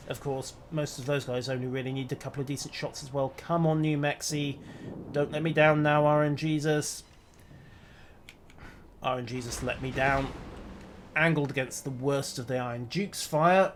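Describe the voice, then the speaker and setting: A man's voice: on the high side, a little hiss or hum. A man streaming a naval battle game, talking himself through each move with dry, wry asides. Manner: dry, wry, muttering self-commentary. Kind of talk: live gameplay commentary.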